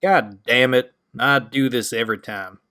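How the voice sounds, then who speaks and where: A man's voice: mid-range voice, measured pace, pushed and bright. A man playing an easygoing, wry young guitarist friend, sheepish but good-humoured, in an audio drama. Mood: awkward, diplomatic. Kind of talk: amateur audio drama acting.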